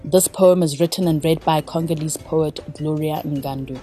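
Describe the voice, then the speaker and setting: A woman with a low voice reads scripted podcast introductions in a clear announcing manner. Faint music plays underneath her. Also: fairly even intonation, moderate pace, dark tone. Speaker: podcast host. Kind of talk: scripted narration.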